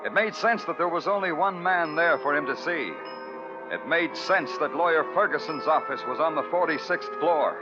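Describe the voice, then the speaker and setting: An American actor playing a weary, brooding New York police detective in an old radio crime drama, terse and sardonic. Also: male voice, a little high, normal speed, lightly acted. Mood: brooding narration. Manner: terse, sardonic, poetic in narration. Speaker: lead actor and narrator. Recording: muffled vintage radio recording, music behind.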